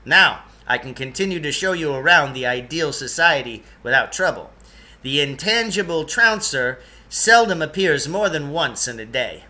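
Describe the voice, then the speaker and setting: A man's voice: a little high, expressive, normal speed, pushed and bright. A man voicing a pompous, jittery eccentric guide in a storybook, with emphatic, florid delivery. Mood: self-satisfied. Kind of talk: storybook character voice.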